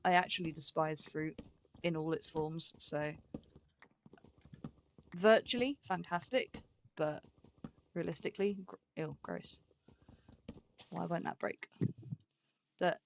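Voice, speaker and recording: A woman's voice: on the low side, gravelly, slight background noise. A woman giving casual, rambling gameplay commentary, thinking aloud with a playful, self-chiding manner. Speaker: let's play host.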